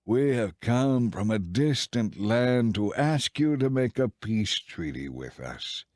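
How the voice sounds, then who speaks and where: A man's voice: mid-range voice, sing-song, slow, darkish tone, no echo, clean studio sound. A man playing a humble, pleading, deceitful ambassador in a dramatized scripture audiobook. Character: Gibeonite envoys, deceitful humble travelers seeking a treaty.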